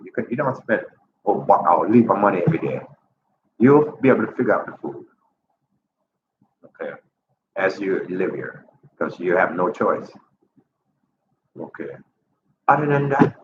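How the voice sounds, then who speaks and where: A man in his fifties with a stuffy nose from a cold, talking casually to camera in his apartment, upbeat and chatty, his voice jumping up when he makes a point. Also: medium pitch. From Jamaica. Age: 50s. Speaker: vlogger. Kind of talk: casual vlog talk to camera. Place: apartment indoors. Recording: roomy lo-fi audio with ambient noise.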